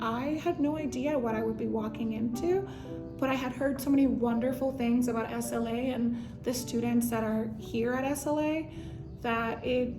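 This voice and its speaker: Woman, native Spanish speaker, raised in Puerto Rico; high school Spanish teacher, documentary interview, earnest and reflective, fairly high voice, roomy echo, music bed. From Dominican Republic, raised in Puerto Rico. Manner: earnest, reflective, with frequent fillers.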